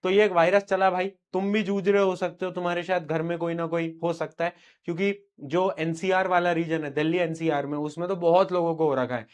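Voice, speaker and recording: A young man teaching a live online lecture, casual and teasing, his voice a little rough from a sore throat and a cough. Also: on the high side, flat delivery, a little fast. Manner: informal, teasing, addresses students as beta.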